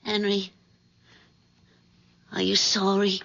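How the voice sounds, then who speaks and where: A woman's voice: medium pitch, monotone, slow, creaky, fairly bright tone, full character voice. An actress playing a restless, wry American woman, a lover and accomplice in an old-time radio crime drama. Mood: coaxing. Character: restless female accomplice and lover, sardonic, complaining. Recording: dull lo-fi radio recording.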